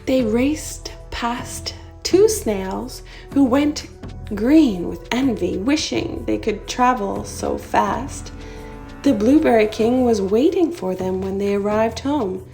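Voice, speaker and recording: A woman narrates a children's picture book in an expressive storytelling style. Her voice is fairly high and mellow, and she takes her time over each phrase. Music plays softly along with her. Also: gravelly. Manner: unhurried, expressive read-aloud delivery.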